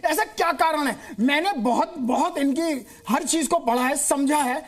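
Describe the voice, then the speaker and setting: High voiced lady debater, pushy, keeps repeating her point. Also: a little slow. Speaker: debate panelist. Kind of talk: argumentative debate.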